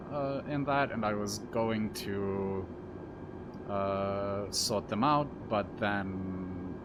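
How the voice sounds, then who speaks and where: A man from Serbia whose first language is Serbian, streaming a flight simulator in casual, rambling commentary full of fillers and self-deprecating asides. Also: lowish voice. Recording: loud constant background hum.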